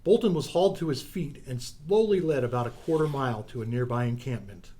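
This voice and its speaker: A man's voice: mid-range voice, expressive, fairly quick, dark tone. A man narrating a radio adventure serial in a theatrical, suspenseful storytelling style.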